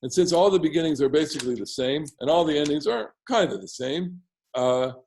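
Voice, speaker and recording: A man with a baritone bass voice teaching a casual class on prayer melodies from home, rambling with many restarts and asides. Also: lively intonation, brisk, darkish tone, slight background noise.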